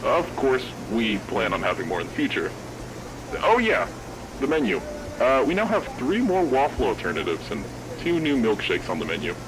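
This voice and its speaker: Noisy old tape recording, man performing earnest male 1970s business founder, animated intonation, casual musing, horror series audio log. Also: mid-range voice, normal speed, close to an everyday voice. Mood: upbeat. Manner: casual, musing, hesitant note-checking. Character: upbeat, earnest 1970s restaurant founder logging progress.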